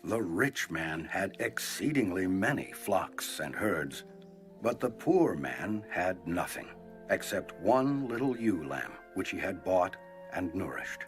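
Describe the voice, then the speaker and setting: A stern male prophet in a dramatized scripture reading, low in pitch and sweeping widely in intonation. He speaks solemnly and slowly, with music faintly behind him. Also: sing-song, full character voice. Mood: solemn storytelling. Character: stern male prophet pronouncing God's judgment. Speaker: audio Bible voice actor.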